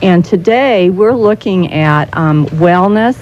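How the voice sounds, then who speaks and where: An American woman speaking as a guest spokesperson in a radio interview, explaining things in a halting way, often repeating and rephrasing her phrases. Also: lowish voice, lively intonation, a little mellow. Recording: muffled 1990s radio recording with noise.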